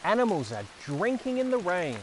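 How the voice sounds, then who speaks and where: An Australian man presenting and reading a story for young children in a playful, expressive manner. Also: sits high, normal speed, a little hiss or hum, low-quality recording. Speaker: children's storyteller and show host. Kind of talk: children's read-aloud and playful presenting to a puppet-like companion. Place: outdoors in a forest.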